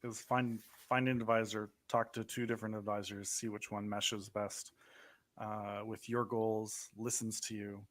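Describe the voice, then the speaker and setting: A man's voice: lowish voice, level tone, measured pace, a bit bright. A man chatting casually on a panel as a financial advisor.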